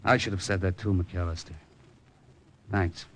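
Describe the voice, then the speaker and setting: A tough, cynical gambler in an old radio drama. The voice sits low and talks in terse, hard-boiled slang, with a soft spot underneath. The recording is old and has light noise behind it. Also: male voice, steady tone, measured pace. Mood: laconic, guarded. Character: tough, cynical male gambler with a sentimental streak. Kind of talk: 1950s radio drama acting.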